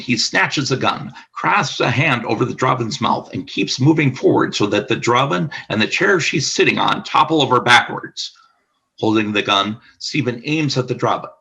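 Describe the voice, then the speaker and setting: A man's voice: mid-range voice, creaky, pushed and bright, husky, rough recording. A man reading scripted narration for a radio play, describing the action in the present tense.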